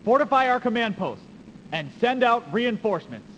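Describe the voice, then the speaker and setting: Male ruthless game commander, theatrical and emphatic, animated pitch, noisy reverberant old game audio. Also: normal speed, highs cut off, heavily acted. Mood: commanding. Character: proud, ruthless male military commander, hungry for victory. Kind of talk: dramatic video game cutscene acting.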